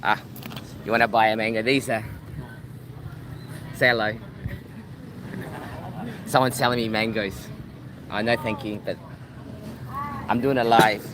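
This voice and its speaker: A man with a fairly low voice chats through a phone live stream from a beachside spot. He rambles casually and keeps repeating words as he restarts. Clear street and vehicle noise runs underneath him. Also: little pitch movement, unhurried, pauses often. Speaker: travel vlogger. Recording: outdoor traffic noise.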